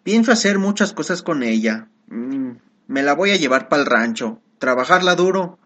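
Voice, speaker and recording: A man's voice: a little high, animated, normal speed, fairly bright tone. A man playing a coarse, harsh, easily astonished country bumpkin in a comic radio play, talking in rustic, exclamatory slang. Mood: gruff, boastful.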